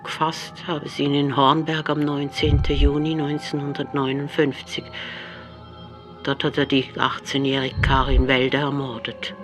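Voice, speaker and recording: A man's voice: medium pitch, monotone, a little slow, over music, close to an everyday voice. An actor playing a plain-spoken southwest German man narrating a grave life story in a radio play. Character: man telling a murderer's life story in dialect.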